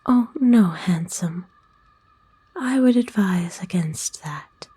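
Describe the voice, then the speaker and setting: A woman voicing a kind, nurturing forest witch in a soothing, folksy, unhurried breathy whisper for an ASMR roleplay. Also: lowish voice, animated, mellow, dry recording, heavily acted. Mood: gently coaxing. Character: kind, nurturing forest witch, playful and gently old-fashioned. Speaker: ASMR voice actor.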